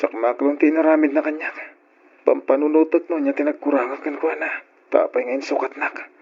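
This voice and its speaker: Creaky, heartbroken young man. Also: a little high, fairly even intonation, a little fast. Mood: drunken despair. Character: heartbroken young man, drunken, self-pitying and wronged. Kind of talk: old Ilocano radio drama acting. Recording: dull lo-fi radio drama recording.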